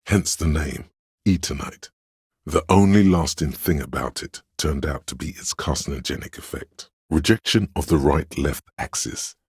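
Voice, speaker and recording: A man playing a learned, detached inner voice of trivia in a video game, narrating in a measured, erudite, wry way with a smooth, resonant tone. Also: very deep voice, no room sound, clean studio sound, full character voice. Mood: informative, deadpan.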